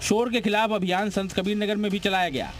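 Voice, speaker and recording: Male news narrator, raised pitch, punchy and dramatic scripted read over a prominent music bed. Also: steady tone, fairly quick. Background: prominent background music.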